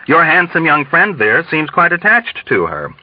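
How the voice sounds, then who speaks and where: A man reading a novel as a calm, jaded male general with a weary, condescending manner. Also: on the high side, moderate pace, no echo, highs cut off, pitched above the performer's own voice.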